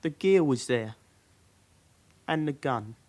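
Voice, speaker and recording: An actor playing a frightened, cornered young suspect in a British radio drama, giving short, nervous, deferential answers in a British accent. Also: male voice, medium pitch, lively intonation, slow, a little mellow. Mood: reluctant, subdued.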